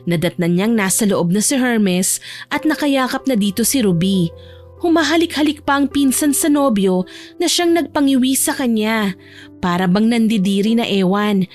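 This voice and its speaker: High-voiced female romance story narrator. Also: animated, moderate pace, a little mellow, soft background music.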